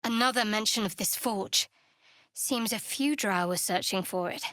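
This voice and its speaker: A woman's voice: mid-range voice, moderate pace, a bit bright, dry recording, natural voice. Woman acting a guarded, sardonic young adventurer, dry-miked. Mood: wary, curious. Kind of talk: video game character voice acting.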